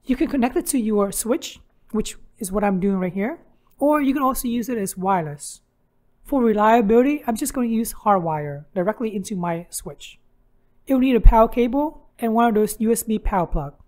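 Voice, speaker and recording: A woman's voice: fairly high pitch, lively intonation, normal speed, darkish tone. A woman hosting a how-to tutorial, narrating instructions step by step. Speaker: tutorial host.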